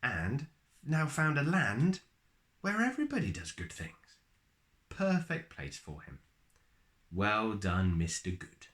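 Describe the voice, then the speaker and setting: Animated low-voiced man reading a children's story. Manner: expressive, dramatic pauses and drawn-out words. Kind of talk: animated children's picture-book reading with asides.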